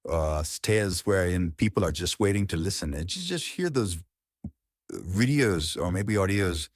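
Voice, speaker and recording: A man's voice: low voice, expressive, a little slow, dry recording, studio quality. A man speaking as a coach, giving an informal, explanatory lesson on public speaking.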